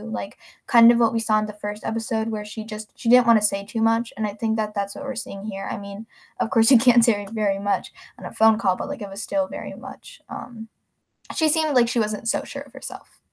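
A teenage girl speaking casually as a podcast guest, explaining things in a tentative way. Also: on the high side. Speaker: student actor, podcast guest. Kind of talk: casual chat.

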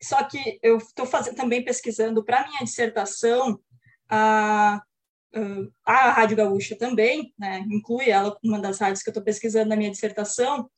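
A woman's voice: fairly high pitch, fairly even intonation, normal speed. This is a young woman. She speaks as an academic researcher, explaining things informally and tagging phrases with small checks for agreement. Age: young adult. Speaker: academic researcher, guest presenter. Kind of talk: explaining research in an online panel.

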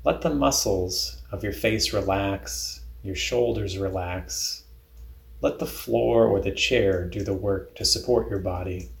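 A man leading a guided body scan meditation in a calm, deliberate, instructional way. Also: low voice, gravelly, reverberant.

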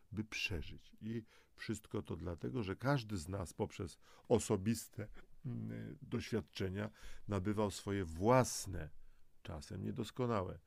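Low-voiced man with a creaky edge. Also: no echo. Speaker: presenter of a health audio programme. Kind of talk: scripted educational narration with conversational asides.

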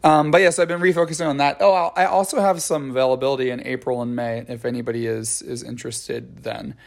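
Male podcast host with a scratchy, gravelly voice, at a middling pitch, chatty and loose. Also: steady tone, fast. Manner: chatty, with frequent ums and restarts. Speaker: podcast host and dance choreographer. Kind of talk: casual solo podcast chat.

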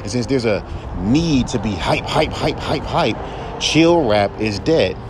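A young man with a droll baritone voice, ranting bluntly and profanely on his solo podcast. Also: lively intonation, a little slow, background noise. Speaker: podcast host and rapper.

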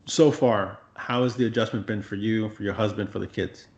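A man interviews his guest in a relaxed, reflective way, thinking aloud with plenty of "you know"s. His voice sits at a middle pitch. It rings in a roomy space, and the sound is slightly dull and lo-fi. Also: moderate pace. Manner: reflective, relaxed, with frequent fillers. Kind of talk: casual interview conversation.

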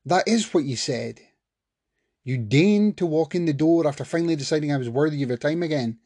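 A man voicing an angry, volatile man whose bitter words rise into yelling at his partner. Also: high voice, quick pace, creaky, slightly rough, dry recording, studio quality, pitched above the performer's own voice, heavily acted. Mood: furious.

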